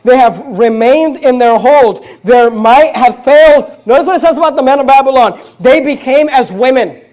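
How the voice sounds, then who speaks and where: A man's voice: very high voice, a little mellow. A man with an American accent preaching a Bible sermon in an emphatic, exhorting manner, telling listeners to look and take notice. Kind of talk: Bible sermon with verse reading.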